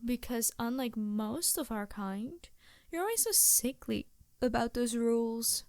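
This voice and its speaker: Woman acting a slow, sultry succubus demon. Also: fairly high pitch, a little mellow, dry recording. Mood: exasperated, scheming. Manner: languid, flirtatious, theatrical, sighing. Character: vain, seductive succubus demon, haughty and teasing. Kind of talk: ASMR roleplay, improvised character acting.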